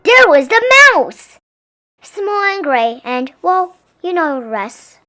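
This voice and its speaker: A woman's voice: sits high, big pitch swings, slow, bright, forceful tone, soft background music. A woman reading a picture book aloud expressively for young children. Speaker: storybook reader.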